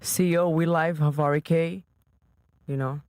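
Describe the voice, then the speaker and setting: A woman's voice: low voice, fairly even intonation, moderate pace, darkish tone, clear, resonant tone, no echo. Podcast guest, woman in her twenties, Brazilian accent, brash slangy chat, dry close sound. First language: Portuguese. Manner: brash, slangy, broken grammar. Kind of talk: casual chat.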